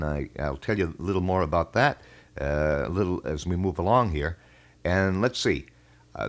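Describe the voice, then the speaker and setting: A middle-aged American man with a fairly low voice hosts a live radio call-in show. He talks in a folksy, wordy manner, phrasing things haltingly as he chats with listeners from the studio. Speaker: radio talk show host. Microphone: studio broadcast microphone.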